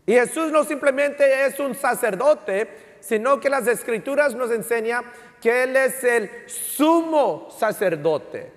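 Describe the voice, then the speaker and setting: A man's voice: very high voice, lively intonation, slow. A man preaching as a pastor in an emphatic, teaching way, repeating key phrases and switching between Spanish and English. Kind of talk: sermon with rhetorical questions to the congregation. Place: large indoor church hall. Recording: reverberant hall sound.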